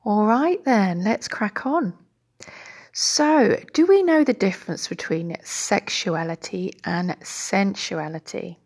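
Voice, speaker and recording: Husky, gravelly-voiced woman podcast host. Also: medium pitch, expressive, slow pace. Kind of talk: scripted podcast introduction and moderation.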